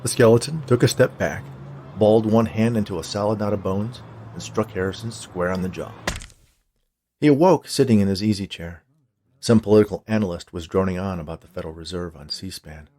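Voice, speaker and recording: Male narrator, lowish pitch, short-story reading, scripted prose, clear background noise. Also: a little fast, mellow.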